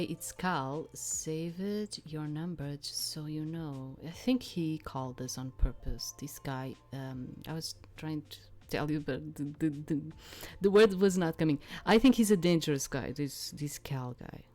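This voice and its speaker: Low-voiced woman doing game commentary. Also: unhurried, hardly any pauses, mellow, faint music behind. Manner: rambling, hesitant, searching for words. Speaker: game streamer. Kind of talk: casual playthrough commentary with read-aloud game text.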